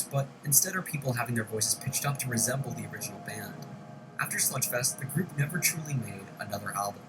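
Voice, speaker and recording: A man's voice: medium pitch, rough recording. A man delivering scripted, documentary-style narration for a video essay. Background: music.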